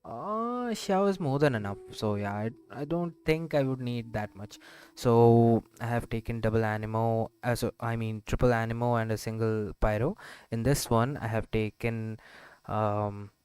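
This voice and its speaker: A man's voice: medium pitch, moderate pace, clean tone. A man giving casual gameplay commentary as a streamer, thinking aloud in a rambling, hesitant way. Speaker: gaming streamer. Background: loud game music.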